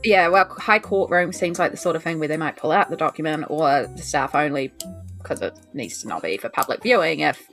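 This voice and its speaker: A woman plays a tabletop role-playing game in a slightly low voice. She talks informally, working through options aloud. There is music behind her. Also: expressive, a little fast, fairly bright tone. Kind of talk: casual tabletop game chat. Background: background music.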